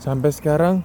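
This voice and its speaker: A man's voice: a little high, dark tone. A man around thirty, rambling casually in a conversational solo monologue full of rhetorical questions. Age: 20s or 30s. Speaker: solo podcast host. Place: outdoors, walking along a street. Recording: outdoor urban noise, passing vehicles. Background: clear traffic and ambient noise.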